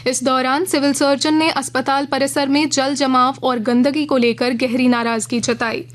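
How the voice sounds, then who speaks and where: A woman reading a regional radio news bulletin in a measured, formal way from a studio. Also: high-pitched, level tone. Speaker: news reader.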